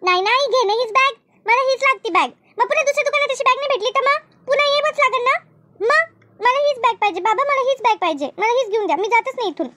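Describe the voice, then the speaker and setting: A woman's voice: very high voice, fast talker, pushed and bright, no echo, studio quality. A woman voicing an eager, stubborn little schoolgirl in a comedy cartoon, pleading and repeating herself. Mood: pleading, whiny. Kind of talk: Marathi comedy cartoon dub.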